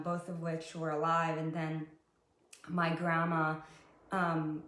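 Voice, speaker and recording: A woman's voice: low voice, a bit bright. Chatty Israeli-born woman, echoey room. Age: 30s. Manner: chatty, frequent "like" and "you know". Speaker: podcast co-host, interviewee. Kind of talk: casual interview.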